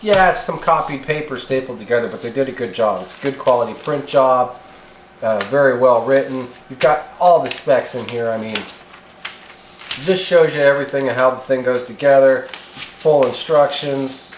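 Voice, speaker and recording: A man hosting a casual, unscripted gear review at home, talking in a halting, rambling, folksy way. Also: medium pitch. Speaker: video host reviewing radio gear. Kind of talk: casual unscripted product unboxing and demo. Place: indoors in a home kitchen. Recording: echoey room with faint noise.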